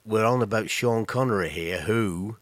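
A man's voice: lowish voice, moderate pace, no room sound. A man co-hosting a radio show, chatting casually and rambling with fillers and exclamations. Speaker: radio co-host.

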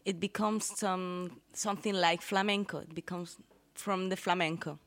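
Argentine-born woman, kinda low voice, halting english, laughs a lot. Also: fairly even intonation, fairly bright tone. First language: Spanish. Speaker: guest. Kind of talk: casual chat.

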